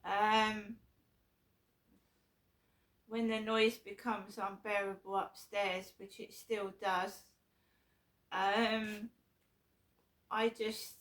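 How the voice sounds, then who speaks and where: A middle-aged woman with a sore throat, talking casually to camera in a rambling, halting way full of ums and restarts. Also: mid-range voice, a bit bright. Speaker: vlogger.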